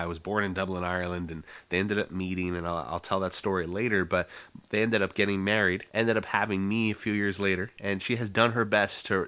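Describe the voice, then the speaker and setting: A man's voice: sits low, pushed and bright. A young American man talking casually and earnestly to the camera in a rambling, heartfelt vlog monologue. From United States. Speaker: vlogger.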